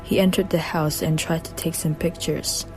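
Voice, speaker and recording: A woman's voice: low voice, level tone, normal speed, over music, rough recording. A woman narrating an amateur radio drama. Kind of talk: scripted narration.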